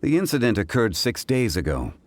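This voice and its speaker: An actor playing a calm, erudite and dignified gentleman in a video game, speaking in a measured, formal way. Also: fairly low pitch, a little hiss or hum. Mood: composed, assured. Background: game music.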